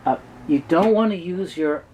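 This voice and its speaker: A man giving a halting, explanatory technical talk as an expert guest in an interview. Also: a little high, a little mellow, slight background noise. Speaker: guest expert on reel-to-reel tape.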